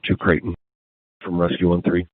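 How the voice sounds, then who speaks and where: Male emergency dispatcher, very low voice, procedural read-back delivery, dry narrow-band two-way radio sound. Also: monotone, moderate pace, no echo. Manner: procedural, reads messages back. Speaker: emergency medical group dispatcher. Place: command post.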